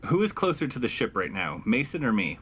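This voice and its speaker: A man's voice: mid-range voice, sing-song, fairly quick, a bit bright, slight background noise. A man roleplaying as a captain in a tabletop game, giving orders and asking questions. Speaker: player as a squad captain.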